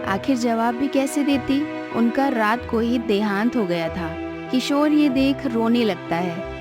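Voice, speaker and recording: High-voiced woman storyteller over music. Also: slow pace. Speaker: narrator. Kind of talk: scripted storytelling narration for a moral cartoon.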